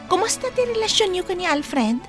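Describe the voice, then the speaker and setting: A woman's voice: extremely high pitch, very animated, fairly quick, soft background music. A woman playing a chatty, supportive young best friend in an Ilocano radio drama. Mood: reassuring.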